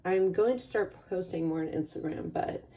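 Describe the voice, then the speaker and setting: A woman hosting a casual tarot card reading in an informal, playful way, her voice slightly raspy as she gets over a cold. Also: lowish voice, normal speed, mellow, far from the mic, low-quality recording. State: getting over a cold or allergies. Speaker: tarot reader hosting a pick a card channel.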